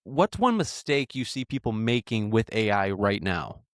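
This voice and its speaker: A man in his twenties hosting a casual interview, asking informal questions in a halting way. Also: on the low side, sing-song, no room sound, clean studio sound. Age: 20s. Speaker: podcast host, interviewer.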